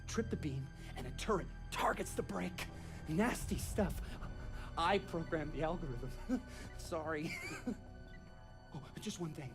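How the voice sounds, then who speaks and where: An animated, nervous male geek from a video game. He chatters proudly and stammers, his pitch jumping about, with music under him. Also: a little slow, long pauses. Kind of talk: video game cutscene acting.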